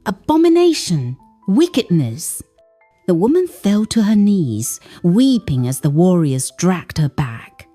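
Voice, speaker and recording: A woman's voice: on the low side, big pitch swings, measured pace, few pauses, warm tone, faint music behind. A woman narrating a folktale in a dramatic, scripted storytelling style.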